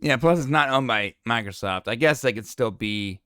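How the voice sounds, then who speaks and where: A man's voice: mid-range voice, lively intonation, normal speed, sharp tone, dry recording, studio quality. A man co-hosting a podcast, chatting casually and agreeing with enthusiasm. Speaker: podcast co-host. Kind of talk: casual chat.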